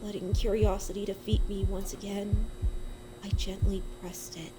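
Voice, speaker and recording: A woman's voice: mid-range voice, level tone, moderate pace, mellow. A woman giving a dramatic first-person reading of a horror story, with a suspenseful, emotive delivery.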